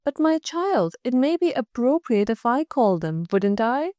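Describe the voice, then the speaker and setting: A woman's voice: fairly high pitch, very animated, unhurried, warm tone, no echo. A woman voicing a fussy, doting male school principal in a read-aloud story.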